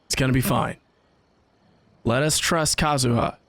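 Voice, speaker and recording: A man giving casual, excitable, slangy reaction commentary to a video game story. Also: medium pitch, a little slow, creaky, a crowd in the background. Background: game music.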